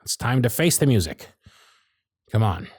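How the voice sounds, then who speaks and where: Man acting an animated, proud workshop supervisor. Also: medium pitch, moderate pace, breathy edge, dry recording, clean studio sound. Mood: earnest, tender. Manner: theatrical, emphatic, sardonic. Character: gruff, proud, protective supervisor in Santa's workshop. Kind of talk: scripted ASMR roleplay acting.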